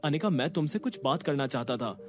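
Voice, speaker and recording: A man's voice: fairly high pitch, animated, a little fast, faint music behind, lightly acted. A man playing an earnest, scorned but devoted young husband in an audio drama. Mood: hesitant, earnest. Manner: tentative, earnest. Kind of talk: Hindi audio drama acting.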